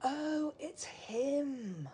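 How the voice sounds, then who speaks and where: A woman plays a breezy, motherly receptionist in an audio drama. Her delivery is cosy and sing-song, swinging widely in pitch, over faint ambient noise. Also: very animated, measured pace, a little mellow. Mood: helpful, breezy. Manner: sing-song, cosy, calls people love. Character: chatty, breezy, motherly female office receptionist.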